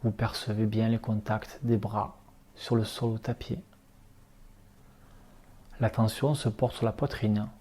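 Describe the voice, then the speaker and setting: A man leading a guided relaxation meditation as an instructor, speaking calmly in short, unhurried instructions. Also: fairly low pitch. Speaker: yoga nidra relaxation instructor.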